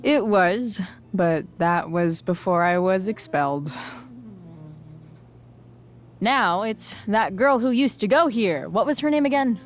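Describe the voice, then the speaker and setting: A woman's voice: mid-range voice, lively intonation, takes it slowly, long pauses, a little hiss or hum. A woman playing a sarcastic, rebellious teenage girl in a video game, with a dry, flippant, slangy way of talking. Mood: flippant, deflecting. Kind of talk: video game cutscene acting.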